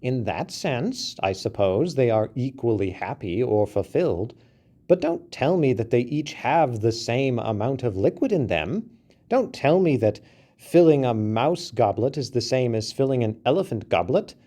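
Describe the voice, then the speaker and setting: Shrill, argumentative little mouse, voiced by a man, lively pitch, mellow tone. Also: a little slow. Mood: lecturing. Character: indignant little male mouse.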